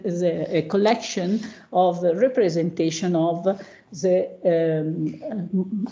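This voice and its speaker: A woman with an Italian accent, a native Italian speaker, giving an academic presentation over a video call in a halting way, searching for words. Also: warm tone. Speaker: academic panelist, professor. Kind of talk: lecture presentation with slides. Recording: muffled low-bandwidth video call audio.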